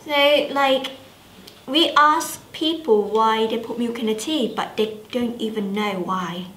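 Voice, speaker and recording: Woman, higher pitch, chatty presenter, echoey room, faint noise. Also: takes it slowly, fairly bright tone. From Thailand. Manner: chatty, hesitant with many fillers. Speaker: student presenter. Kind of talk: casual presenting to camera.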